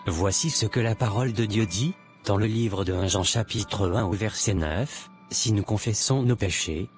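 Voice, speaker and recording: A man playing a devout, forgiving adult son in a cartoon, speaking earnestly and deliberately, a little preachy. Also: fairly low pitch, lightly acted. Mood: earnest, preaching. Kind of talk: dubbed cartoon dialogue. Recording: music under the voice.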